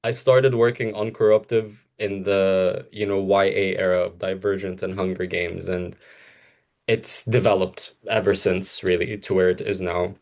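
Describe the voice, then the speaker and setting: Laughing young man, low voice. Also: little pitch movement, a little slow, a little mellow. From Egypt. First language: Arabic. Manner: laughs often, rambling with restarts. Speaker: co-host. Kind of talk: casual conversation.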